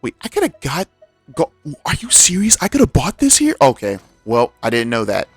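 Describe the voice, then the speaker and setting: A man's voice: mid-range voice, wildly swooping pitch, a little fast, husky, no echo, soft background music. A man streaming a game with casual, slangy, exclamatory commentary.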